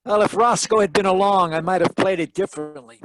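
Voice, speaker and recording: A man's voice: sits high, fairly quick, a bit bright, soft background music, low-quality recording. A man playing a wisecracking hard-boiled detective who narrates in 1940s radio mystery style, wry and slangy. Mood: wry narrating. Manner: wry, slangy, worldly-wise. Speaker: actor in a radio mystery performance. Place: home, live video call performance.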